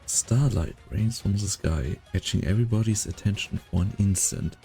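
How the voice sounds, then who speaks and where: An amateur actor in a fan dub playing a solemn, brooding narrator with a portentous, deliberate delivery. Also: male voice, fairly low pitch, warm tone, soft background music, natural voice. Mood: solemn, ominous.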